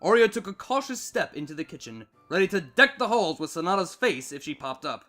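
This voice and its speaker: A man narrating a fan fiction story in an expressive, scripted reading style. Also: sits high, brisk, soft background music.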